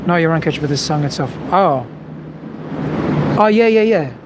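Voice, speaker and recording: A man's voice: on the high side, normal speed. A man, a vocal coach, chatting relaxedly on a live stream and answering viewer questions. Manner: relaxed, chatty, thinking aloud with fillers. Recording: noisy background.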